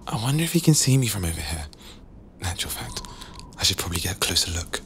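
A man playing a smitten young female admirer with a breathy, hushed voice in a comic dub. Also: sits low, sing-song, fast, slight background noise.

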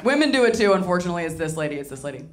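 A young woman from Tennessee performing a stand-up comedy set into a handheld mic, wry and deadpan, slipping into mocking voices for the people she quotes. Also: lowish voice, racing, a little hiss or hum. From Southern US, Tennessee. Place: small candy-shop comedy venue with live audience. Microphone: handheld microphone.

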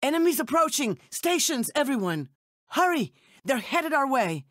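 A woman playing a cool, poised and wry double agent in an animated video game. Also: high-pitched, moderate pace, no echo, studio quality. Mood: urgent alarm.

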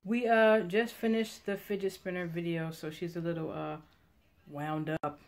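A woman's voice: lowish voice, level tone, normal speed, darkish tone. Playful woman vlogging at home. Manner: chatty, playful, teasing. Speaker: family vlogger. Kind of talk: casual home vlog chat. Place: home staircase, indoors. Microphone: phone camera at arm's length.

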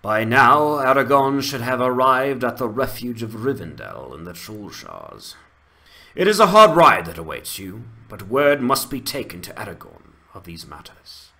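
A man voicing a dutiful male ranger captain, speaking gravely and formally in a fantasy game dramatization. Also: mid-range voice, big pitch swings, measured pace, bright, forceful tone, full character voice.

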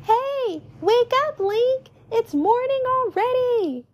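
A woman voicing a loud, bold, excitable young village boy in storybook dialogue. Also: very high voice, unhurried, dull audio, voice pushed up. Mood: urgent, shouting. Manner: excited, exclaiming.

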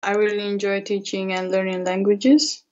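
A man's voice: high voice, completely flat delivery, normal speed, muffled recording. A man working as a language tutor, answering politely and hesitantly with many fillers. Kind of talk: casual video-call chat.

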